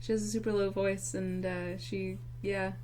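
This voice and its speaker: Mid-pitched young woman, relaxed casual co-host, quick agreeable replies. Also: slight background noise. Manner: easygoing, short agreeing replies. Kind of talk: casual chat.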